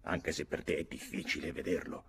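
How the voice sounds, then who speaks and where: A man's voice: very deep voice, steady tone, brisk, gravelly, background noise, heavily acted. A man reading an audiobook, voicing a worldly, persuasive older army captain giving advice. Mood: coaxing. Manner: coaxing, advising.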